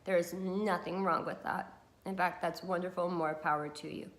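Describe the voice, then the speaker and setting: A woman's voice: on the low side, fairly even intonation, normal speed. Congested woman vlogging casually. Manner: chatty, encouraging, many fillers. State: congested from a cold. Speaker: beauty vlogger and hypnotherapist. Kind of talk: casual talk to camera.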